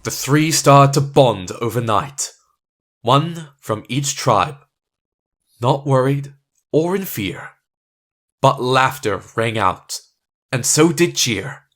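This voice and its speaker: A man acting as a gentle storybook narrator, reading scripted narration for an audio drama. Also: mid-range voice.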